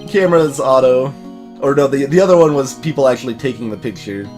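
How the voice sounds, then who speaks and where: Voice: mid-range voice, fast, darkish tone. Let's play host over game music.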